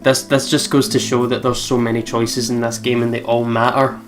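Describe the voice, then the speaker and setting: A man's voice: mid-range voice, little pitch movement, moderate pace. A man hosting a let's play with casual, chatty gaming commentary.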